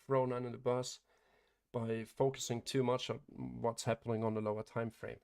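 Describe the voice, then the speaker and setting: Male trading streamer, hoarse and gravelly, flat matter-of-fact delivery, frequent throat clearing. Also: medium pitch, moderate pace. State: hoarse, losing his voice. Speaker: day trader hosting a livestream. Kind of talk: live trading commentary.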